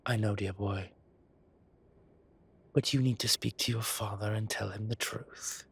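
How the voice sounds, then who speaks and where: A man voicing an old, fatherly, whimsical boss, fond and gently insistent, with theatrical old-fashioned phrasing in an audio roleplay drama. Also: on the low side, steady tone, measured pace, creaky, husky, heavily acted. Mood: firm, fatherly.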